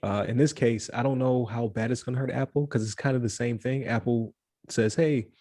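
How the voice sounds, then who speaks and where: A man's voice: medium pitch, fairly even intonation, brisk, dark tone, dry recording, studio quality. A man co-hosting a casual podcast chat, joking and rambling with lots of fillers and restarts. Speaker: podcast co-host.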